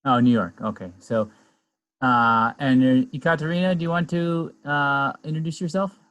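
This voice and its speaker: A man's voice: medium pitch, fairly even intonation, normal speed, a bit bright. A man from Minnesota hosting a club video call, asking each member in turn to introduce themselves and joking along the way. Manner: friendly, joking, asks each person in turn. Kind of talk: casual video-call chat.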